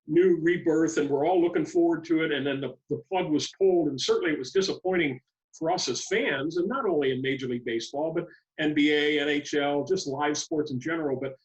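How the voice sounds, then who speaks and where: A man co-hosting a sports podcast, asking conversational questions in a halting, roundabout way. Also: a little high, far from the mic, lo-fi sound. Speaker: podcast co-host, interviewer.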